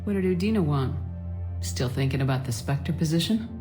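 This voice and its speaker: An actress playing a steady, caring military commander in a video game, direct and plain-spoken. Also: female voice, low voice, brisk. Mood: sincere, concerned. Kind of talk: video game dramatic acting. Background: music.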